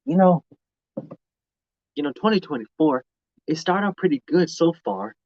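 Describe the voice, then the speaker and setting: Halting young man, slightly dull lo-fi audio. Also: a little high, animated. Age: mid-twenties. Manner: halting, repeats and restarts phrases. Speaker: live streamer. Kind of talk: casual rambling chat to viewers. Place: outdoors.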